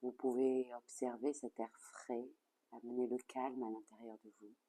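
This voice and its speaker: A yoga nidra instructor leading a guided relaxation in a calm, soothing, measured way with soft phrase endings. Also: medium pitch.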